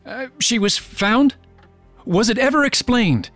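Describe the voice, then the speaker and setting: A horrified male listener in a radio drama. His high voice is hesitant and slow, closely and dryly recorded with light music. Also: lively intonation, a bit bright, no room sound, exaggerated voice. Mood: anxious curiosity. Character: anxious, appalled male listener hanging on a grisly tale.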